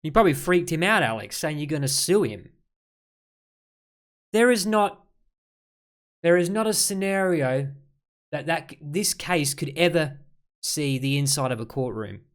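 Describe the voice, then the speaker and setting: A man's voice: a little high, animated, unhurried, long pauses. Sarcastic man giving exasperated commentary. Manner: sarcastic, exasperated, addressing the person he critiques. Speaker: commentary video host. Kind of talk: mocking reaction commentary, ad-libbed.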